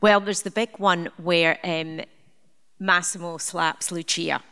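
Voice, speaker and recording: A woman's voice: lowish voice, steady tone, slow pace, sharp tone, smooth. A woman speaking as assistant director on a live theatre panel, chatty and often restarting her sentences. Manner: chatty, with frequent restarts and fillers. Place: theatre stage. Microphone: handheld mic.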